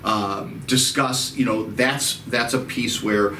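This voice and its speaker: A man's voice: mid-range voice, fairly even intonation, takes it slowly, pushed and bright, slight background noise. A man with a Chicago-area American accent, hosting a casual talk and patiently explaining with many repeats and restarts. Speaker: title company host. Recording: echoey room, mic at a distance.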